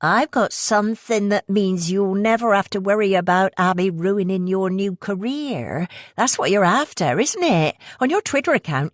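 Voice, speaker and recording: A sly, pushy schemer in a thick West Country accent, as a woman reader puts her on, pitched well above the reader's own voice. She rushes her words out with a subtly mocking edge and stays lively and bouncing in pitch, read close and dry for an audiobook. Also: dry recording, natural voice. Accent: West Country English.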